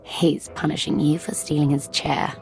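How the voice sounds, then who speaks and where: A woman's voice: low voice, flat delivery, moderate pace, creaky, rough recording. A woman playing a sly, cool young princess and younger sister in a video game. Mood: mocking. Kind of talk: dramatic video game acting. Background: loud game music.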